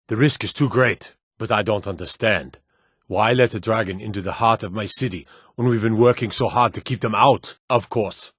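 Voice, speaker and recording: A man voicing a stern, loyal guard commander in a fantasy video game, with a gruff, weighty, formal delivery. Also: lowish voice, fairly bright tone, dry recording, studio quality. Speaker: voice actor for game guard lines.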